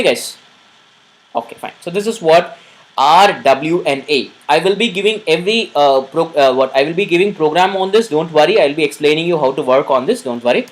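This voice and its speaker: A man's voice: high-pitched, fairly bright tone, low-quality recording. A male instructor talks through a beginner programming lesson in a live online session. He goes over each point more than once and stops often to ask whether his listeners are following.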